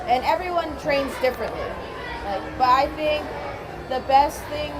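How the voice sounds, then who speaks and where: A woman's voice: high voice, lively intonation, normal speed, over loud music, a loud crowd. A young woman from Hawaii, a slap fighter being interviewed in a casino, talking easygoing and laughing often. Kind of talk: casual interview. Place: casino, busy public area. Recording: noisy crowded casino background.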